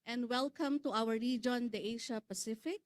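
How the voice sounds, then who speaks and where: Filipino woman, secretary general type, formal conference welcome, bit high, dry close sound. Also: steady tone, no echo. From Philippines. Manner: measured, formal delivery with some fillers and restarts. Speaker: secretary general of a regional adult education network. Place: conference hall.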